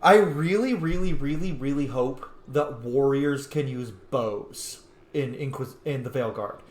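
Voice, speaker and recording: A man's voice: mid-range voice, a little slow, a little mellow, echoey room. A man hosting a gaming channel, giving casual, chatty and opinionated let's-play commentary. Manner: opinionated, chatty fan enthusiasm.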